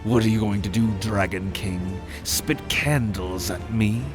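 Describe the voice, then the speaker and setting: A man's voice: on the low side, steady tone, measured pace, over music, close to an everyday voice. A man playing a cruel, arrogant merman prince in a fantasy audio drama, taunting with haughty contempt. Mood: mocking.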